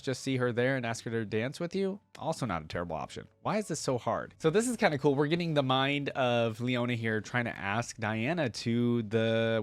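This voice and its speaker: A man's voice: mid-range voice, no room sound. A man hosting a fan reaction video, talking informally and reading story text aloud. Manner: informal, conversational.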